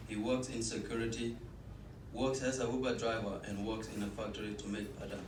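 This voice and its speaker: An eighteen-year-old man from South Sudan, a student cultural ambassador, reading an earnest personal statement with small restarts. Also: lowish voice. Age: teens. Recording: echoey room, distant mic, noisy.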